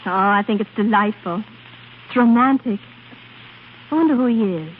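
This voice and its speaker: An actress playing a vain, temperamental young prima donna in 1930s radio style, haughty and theatrical. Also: female voice, medium pitch, moderate pace, close to an everyday voice. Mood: smitten, excited. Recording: tinny, noisy 1930s radio recording.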